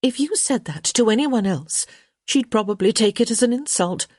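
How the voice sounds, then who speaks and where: A woman's voice: on the high side, lively intonation, normal speed, a little mellow, dry recording, clean studio sound, pitched above the performer's own voice. A woman voicing a witty young unmarried lady, arch, teasing and sarcastic, in a story reading.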